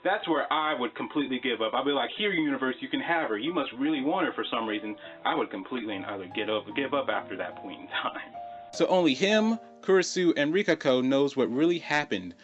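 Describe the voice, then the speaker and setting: A man's voice: medium pitch, animated, fast, fairly bright tone, lo-fi sound, faint music behind. A man hosting a video, giving an informal, conversational explainer commentary and repeating phrases for emphasis. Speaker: video host.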